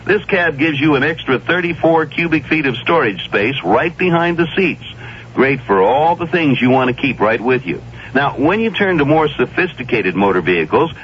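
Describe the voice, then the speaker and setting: A man reading a radio commercial in a smooth, persuasive way. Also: mid-range voice. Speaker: commercial announcer. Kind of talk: read radio advertisement. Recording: dull old radio broadcast with faint hiss.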